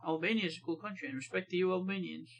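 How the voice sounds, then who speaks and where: A man's voice: high voice, rapid-fire, creaky, a bit bright, faint music behind. An American man hosting a reaction video, chatting casually and reading comments aloud with laid-back, offhand asides. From United States.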